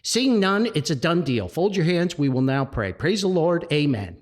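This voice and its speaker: A man's voice: on the high side, big pitch swings, moderate pace. A man voicing a weary, exasperated pastor running a church meeting in a comic story. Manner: exasperated, emphatic.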